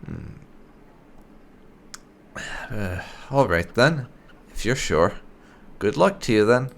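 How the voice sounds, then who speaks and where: A man's voice: fairly low pitch, sing-song, takes it slowly, gravelly, a little hiss or hum. A man giving casual, roleplay-flavored video game commentary, musing aloud as he plays. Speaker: gaming channel host. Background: game music.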